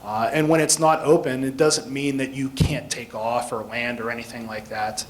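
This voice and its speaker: A man's voice: mid-range voice, fairly even intonation, moderate pace, a little hiss or hum, rough recording. An American man speaking as a guest instructor, explaining things plainly in an informal talk with questions from listeners. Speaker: guest speaker, air traffic control instructor.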